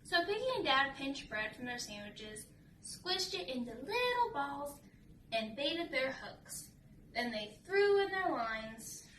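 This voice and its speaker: High, bright woman reading aloud. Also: very animated, slow pace. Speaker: storybook reader. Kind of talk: children's read-aloud narration and casual chat. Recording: roomy, distant mic with faint noise.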